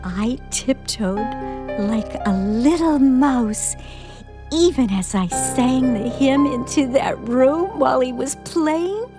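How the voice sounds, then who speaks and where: Music plays behind a high, slow-speaking woman, frightened and coming unhinged. She is halting and dramatic, circling back over her phrases as in a suspense radio play. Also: expressive. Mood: giddy, unhinged triumph. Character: frightened, unhinged woman, now giddy and triumphant. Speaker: actor.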